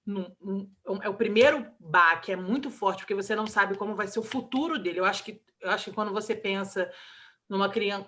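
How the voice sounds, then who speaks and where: A woman in her thirties with a Brazilian Portuguese accent, an interview guest talking about her child, emotional and halting, with many restarts. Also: mid-range voice, a bit bright. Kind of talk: candid personal interview.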